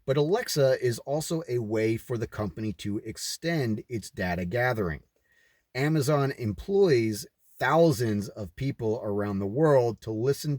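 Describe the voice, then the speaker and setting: A man's voice: medium pitch, a little mellow, dry recording. Man reading aloud, dry close sound. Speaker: host reading a book aloud with his own commentary. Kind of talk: reading aloud with off-the-cuff commentary. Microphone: recording himself on camera.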